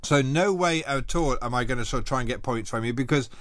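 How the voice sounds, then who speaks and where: A man's voice: mid-range voice, fast, a bit bright, no echo, studio quality. A man presenting a radio music show, chatting casually and rambling between songs with frequent laughs. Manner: chatty, rambling, self-deprecating, laughs often. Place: small radio studio. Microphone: studio microphone.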